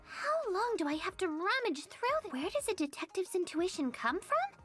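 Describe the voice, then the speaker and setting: A woman's voice: very high voice, big pitch swings, normal speed. A woman voicing a cute, girlish female character in video game voice lines. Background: game music.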